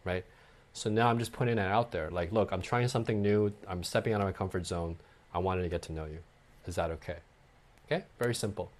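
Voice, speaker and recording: A man with a fairly low voice explains dating advice in an easy, conversational flow. He keeps checking in with the listener and slips into short acted-out exchanges to show his points. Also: fast talker. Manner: acts out sample lines, frequent okay and right. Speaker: dating coach guest.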